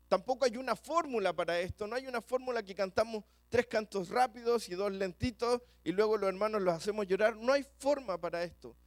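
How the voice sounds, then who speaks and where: A man with a Chilean accent preaching a sermon to a church congregation, talking quickly and often repeating words as he goes. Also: high-pitched, a little mellow, no room sound. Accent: Chilean Spanish. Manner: fast-talking, repeats words when rushing.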